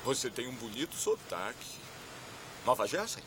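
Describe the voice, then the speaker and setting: A man's voice: mid-range voice, sing-song, normal speed, very noisy, close to an everyday voice. A man dubbing a goofy naive young man. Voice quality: hesitant, stammering with fillers. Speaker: dub actor. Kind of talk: Brazilian Portuguese film dub.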